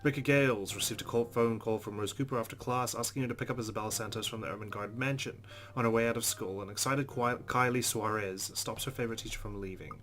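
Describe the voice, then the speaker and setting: A man's voice: fairly low pitch, steady tone, fast talker, few pauses, fairly bright tone. A man hosting a let's play, reading visual novel narration aloud with casual asides.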